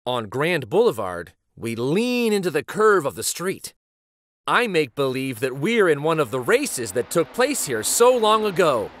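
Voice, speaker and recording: A middle-aged man reads a picture book aloud as host, in an expressive, dramatic manner. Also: a little high, unhurried, no room sound. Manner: animated, dramatic. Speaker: children's storybook reader and show host.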